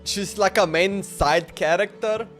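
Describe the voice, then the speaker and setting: A man giving casual live commentary while gaming, halting and repeating words as he goes. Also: high voice, fairly bright tone. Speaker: game livestreamer. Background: faint game music.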